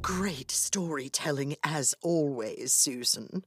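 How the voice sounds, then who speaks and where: A woman's voice: sits low, takes it slowly, a bit bright, faint music behind, exaggerated voice. A woman playing a smug, haughty, mocking storyteller in an audio drama, with a theatrical drawl. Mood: smug amusement.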